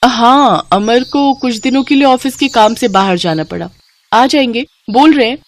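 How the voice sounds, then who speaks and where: A woman's voice: a little high, normal speed, voice pushed up, lightly acted. Woman playing a friendly young married woman, noisy recording. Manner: appreciative, chatty, familiar. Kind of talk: Hindi moral story character dialogue.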